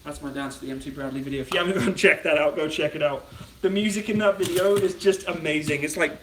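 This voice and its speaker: A man's voice: on the high side, fast talker, recorded from a distance, a little hiss or hum. British man chatting while cooking, echoey. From United Kingdom. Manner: chatty, reads out viewer comments and answers them. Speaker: channel host. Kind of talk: casual live-stream cooking chat. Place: home kitchen.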